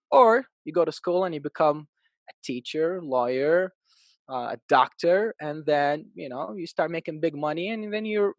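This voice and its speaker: A man in his thirties, originally from Iraq, talks through his life story as a podcast guest. He sounds upbeat and lively, and his voice sits a little higher than most men's. The sound is dry and close, with no room echo. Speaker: podcast guest, real estate agent. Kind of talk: casual interview storytelling.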